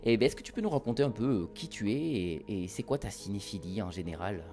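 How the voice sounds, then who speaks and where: A man's voice: fairly low pitch, fast, mellow, soft background music. A man hosting a casual film podcast among friends, chatty and teasing. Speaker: podcast host.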